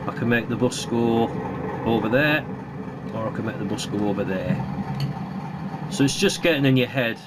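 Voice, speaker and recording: A British man casually narrating a hands-on hobby demonstration as he presents his model railway build. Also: lowish voice. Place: indoor hobby workbench. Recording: noisy room recording with hum.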